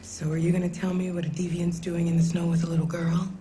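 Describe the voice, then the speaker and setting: An American woman acting as a kind but guarded middle-aged mother in a video game, gentle and plain-spoken. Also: on the low side, flat delivery, fast talker, over music, natural voice. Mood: kindly. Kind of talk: naturalistic cinematic game acting.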